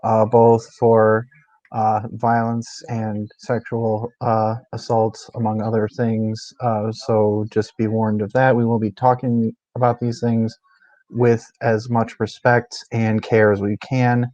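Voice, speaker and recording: A man's voice: on the low side, little pitch movement, slow, mellow, no room sound, poor audio. A man co-hosting a book discussion stream in a casual, informal, conversational way. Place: indoors, live stream.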